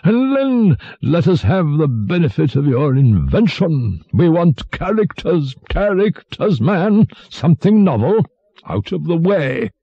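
A man voicing a fat, tyrannical, quick-tempered king in a storybook reading, pompous and imperious. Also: on the high side, sing-song, slightly rough, no room sound, dull audio, voice pushed up, heavily acted. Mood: commanding.

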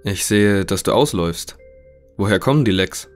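Man acting male researcher, low pitch, courteous, inquisitive, matter-of-fact, audio drama, soft music. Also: expressive, normal speed, natural voice. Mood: businesslike, curious. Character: inquisitive male scientist, courteous, probing interviewer.

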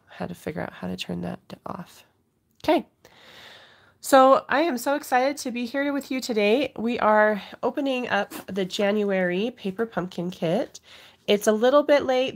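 Woman craft host, stuffy cold voice, gravelly edges, chatty and apologetic, phone overhead at home desk. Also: mid-range voice. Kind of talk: casual live unboxing chat.

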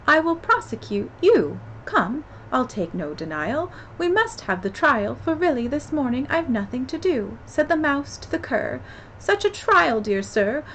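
A woman's voice: high-pitched, a little hiss or hum, pitched above the performer's own voice. A woman voicing a prickly, self-important storybook mouse, declamatory, severe and easily offended. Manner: declamatory, indignant.

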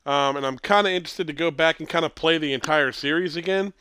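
A man in his thirties hosting a casual gameplay stream, stuffy with a cold and clearing his throat, rambling and correcting himself as he talks. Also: on the high side, quick pace, pushed and bright, dry recording, studio quality. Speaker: streamer host.

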